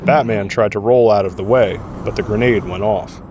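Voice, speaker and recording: A man's voice: on the low side, normal speed, background noise. A man reading scripted dramatic narration for an audio drama. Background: music.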